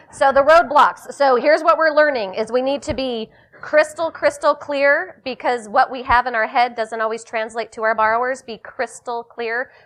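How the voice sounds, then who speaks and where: Female workshop trainer, bright upbeat coaching delivery, a touch higher voice, live seminar feel. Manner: upbeat, coaching, calls on participants. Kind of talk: live workshop talk to a room.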